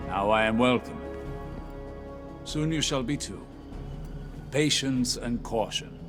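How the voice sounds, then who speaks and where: An austere, wise mentor in a video game. His mid-pitched voice is solemn and deliberate, with music clearly playing underneath. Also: animated. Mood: solemn, guiding. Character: austere male veteran mentor, wise and commanding. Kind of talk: dramatic game cutscene acting.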